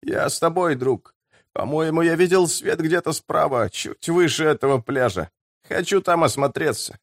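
A man voicing a talkative, friendly rogue with an ironic, animated manner in an audiobook. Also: on the high side, moderate pace, dry recording, clean studio sound, voice pushed up. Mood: friendly, earnest.

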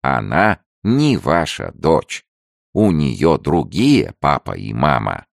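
A sly, boastful stout merchant, put on by a man reading a novel aloud. He drawls his words slowly with smug, wheedling emphasis, in a very deep, gravelly voice. The sound is dry and close. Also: huge pitch swings, no room sound, full character voice. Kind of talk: audiobook character voice.

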